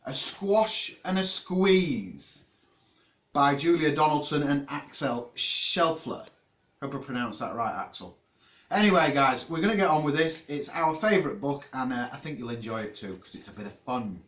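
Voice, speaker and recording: A man with a British accent reading a children's story in an expressive, playful, theatrical way and chatting casually. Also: on the high side, unhurried. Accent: British English. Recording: echoey room, distant microphone.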